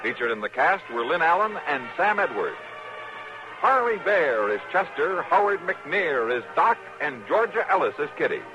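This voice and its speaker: A man's voice: fairly high pitch, sing-song, moderate pace, music in the background. A man with an American accent announcing formally and emphatically in the scripted style of mid-century radio. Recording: muffled vintage radio recording.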